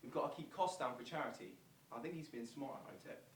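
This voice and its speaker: A man's voice: mid-range voice, fast talker, close to an everyday voice. Roomy, distant man playing an event organiser. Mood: businesslike. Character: practical, approving male charity event organiser. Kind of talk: verbatim stage monologue. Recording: distant, echoey, noisy stage recording.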